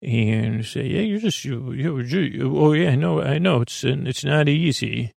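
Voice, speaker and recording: Halting, gravelly-voiced man rambling softly. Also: medium pitch, expressive, no room sound, studio quality. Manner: halting, meandering, with many fillers and restarts. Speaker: podcast host. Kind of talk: rambling improvised bedtime monologue. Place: small studio.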